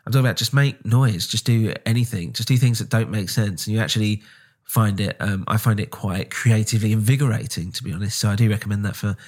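A man's voice: fairly low pitch, fast talker, darkish tone. A man hosting his own podcast, talking casually with many ums and restarts, nasal from a cold. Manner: rambling, frequent ums and restarts. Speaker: podcast host. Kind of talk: casual solo update.

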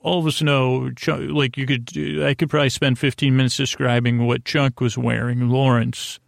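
A middle-aged man hosting a bedtime podcast, rambling in a halting, stammering way with self-deprecating, gently silly asides and tangents. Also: mid-range voice, flat delivery, creaky, no room sound, clean studio sound. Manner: halting, stammering, repeats words and trails off into tangents. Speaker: podcast host.

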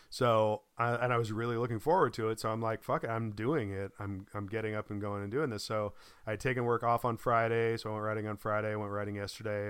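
A man's voice: lowish voice, fairly bright tone, clear, resonant tone, no room sound, clean studio sound. A man from Boise chatting casually as a podcast co-host, rambling with many ums and restarts, still recovering from a lost voice and coughing. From Boise, Idaho. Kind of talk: casual chat.

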